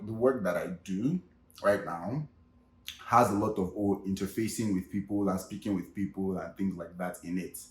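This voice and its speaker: Chatty young Nigerian man, echoey room. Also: on the low side. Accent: Nigerian Yoruba. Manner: chatty, with many fillers like "you know" and "you get". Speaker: vlog host. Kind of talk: casual comedic monologue.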